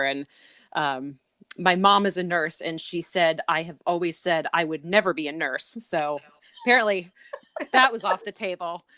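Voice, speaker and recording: Brief: middle-aged woman guest from Connecticut, lowish voice, dry close recording, relaxed with fillers. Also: dry recording. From Connecticut, USA. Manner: frequent "um" fillers and repeats, laughs easily. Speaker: guest, associate professor. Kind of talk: casual interview conversation.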